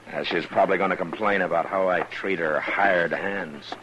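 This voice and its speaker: An actor playing a weary, principled frontier marshal, terse and dry, in a vintage Western radio drama. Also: male voice, deep voice, steady tone, normal speed, highs cut off, noisy background, lightly acted. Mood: grave, firm. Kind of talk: 1950s radio drama acting, some narration.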